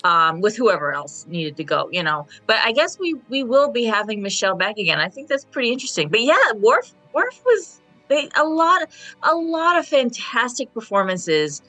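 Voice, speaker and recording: A woman's voice: on the high side, big pitch swings, moderate pace, bright, forceful tone, no echo, soft background music. A woman speaking as a panelist in a casual roundtable discussion.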